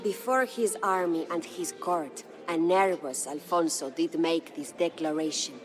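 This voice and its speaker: A proud, mourning Spanish noblewoman tells a dramatic game story. Her voice sits on the low side, with formal, measured and solemn phrasing. Music plays clearly behind her. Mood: solemn, storytelling. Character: proud grieving noble Spanish widow, lady of a castle. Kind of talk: dramatic scripted storytelling narration.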